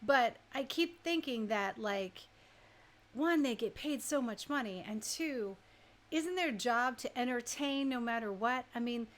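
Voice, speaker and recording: A woman radio host chatting casually and informally, thinking out loud as she shares her opinions. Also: on the high side, expressive, a little slow.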